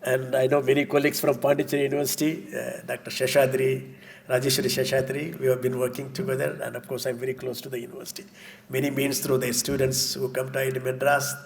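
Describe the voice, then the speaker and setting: Gravelly male academic, monotone welcome speech. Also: medium pitch, quick pace, a little hiss or hum. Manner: flat, gravelly delivery with fillers. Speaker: senior professor giving greetings. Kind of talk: formal greeting speech. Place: conference hall.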